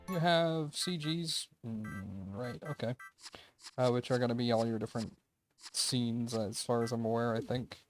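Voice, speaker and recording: A man hosting a game review video with casual, tentative, unscripted commentary, thinking aloud as he goes. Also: mid-range voice.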